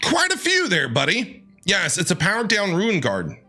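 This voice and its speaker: A male streamer reacting to a game in a high, bright voice. He is playful and theatrical, talking back to the characters with big swings in pitch, while music plays faintly behind him. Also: huge pitch swings, normal speed. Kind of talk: gameplay reaction commentary.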